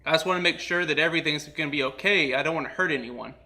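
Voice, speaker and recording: Guy doing a casual prank call bit, kinda high bright voice, acts super earnest. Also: rapid-fire. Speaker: comedy show host making a prank call.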